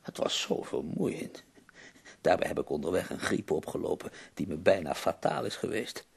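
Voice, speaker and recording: An ailing poet for a radio drama, with a very deep, creaking voice. He sounds melancholy and weary yet dryly wry, with a husky, breathy edge that can sink toward a whisper. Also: very animated, normal speed, heavily acted. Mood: weary, dejected. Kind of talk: radio play acting.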